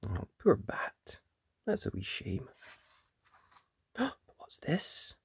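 Lady reading a picture book to little kids, slow pace, bit raspy, big reactions, dry sound. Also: rumbling low, no room sound. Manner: expressive, exaggerated reactions, questioning young listeners. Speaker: children's story reader, host. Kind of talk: children's read-aloud with commentary to young listeners.

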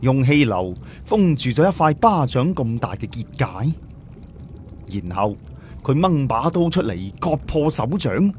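A man's voice: mid-range voice, very animated, slow, a little mellow, slight background noise. A man narrating a serialized story in a scripted storytelling style, with colloquial asides. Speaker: audiobook narrator.

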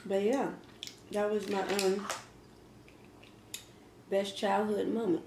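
A woman's voice: medium pitch, little pitch movement, slow pace, mellow. A woman in her thirties chatting casually while she eats, laughing often and talking between bites. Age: 30s. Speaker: mukbang vlogger. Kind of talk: casual Q and A chat while eating. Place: home kitchen, indoors. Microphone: fixed camera across a table. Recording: echoey kitchen with faint ambient noise.

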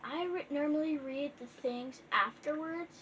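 Young girl gaming vlogger, high voice, noisy phone recording in a dim bedroom. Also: a bit bright. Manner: chatty, reads game letters aloud haltingly. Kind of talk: casual gameplay chat.

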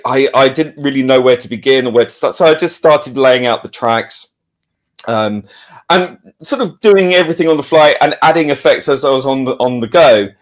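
A man chats casually as an interview guest. He speaks haltingly and with some self-deprecation, in a middle-pitched voice, chuckling as he goes. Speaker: audio drama producer and director, podcast guest.

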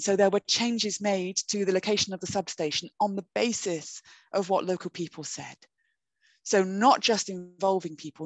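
A woman academic giving a recorded webinar lecture over a video call, measured and explanatory, with occasional "um"s and rephrasings. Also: fairly low pitch, no echo. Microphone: computer webcam mic on a video call.

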